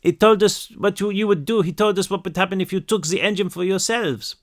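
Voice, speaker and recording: A man voicing a frightened young teenage French girl in an audiobook, speaking halting broken English with a French accent. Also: high-pitched, voice pushed up. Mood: pleading. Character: frightened French girl of about thirteen, pleading.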